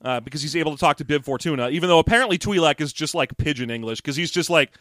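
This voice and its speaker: A man's voice: fairly high pitch, fairly bright tone, no room sound. Joking man with a dry, close sound. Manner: jokey, laughs often, puts on quick impressions. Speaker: podcast co-host. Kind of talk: casual chat discussing a book.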